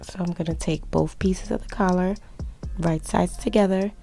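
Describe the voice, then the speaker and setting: A young woman with a hoarse, gravelly low voice talks through her sewing steps in a casual voiceover. Her tone is dark and relaxed, and she rambles a little and pokes fun at herself. Faint music plays underneath. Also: moderate pace. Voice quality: raspy, strained low voice. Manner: rambling, self-mocking. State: hoarse from projecting through a mask. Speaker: sewing tutorial creator.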